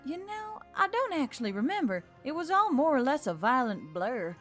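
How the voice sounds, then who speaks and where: A woman's voice: sits high, big pitch swings, moderate pace, a bit bright, voice pushed up. A woman voicing a modest, evasive young female adventurer in a fantasy story. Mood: sheepish, modest. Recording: music bed under the voice.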